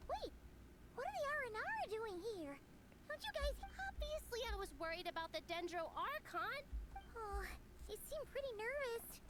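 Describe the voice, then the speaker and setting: A woman voicing a kind, earnest, gentle young female character in a video game. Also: very high voice, big pitch swings, normal speed. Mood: startled, anxious. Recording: noisy game audio under livestream.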